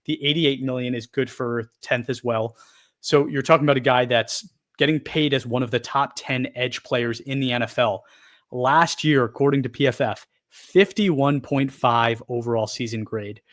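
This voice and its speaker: A man co-hosting a football podcast in casual chat, emphatic and animated, piling on rhetorical questions. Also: medium pitch, normal speed. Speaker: podcast co-host, football analyst.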